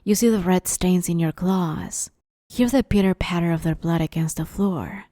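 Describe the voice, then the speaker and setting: Woman acting a dark-toned, playfully sadistic female vampire. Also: lowish voice, moderate pace, no echo, studio quality, lightly acted. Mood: taunting, sinister. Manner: teasing, mockingly sweet, menacing, often hushed. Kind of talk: ASMR roleplay, dramatic monologue.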